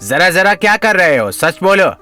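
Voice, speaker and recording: A man's voice: sits high, sing-song, normal speed, bright, forceful tone, soft background music, heavily acted. A man voicing an insistent, suspicious young man in a comic audio drama, urgent and demanding. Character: insistent, suspicious young male confronting a culprit.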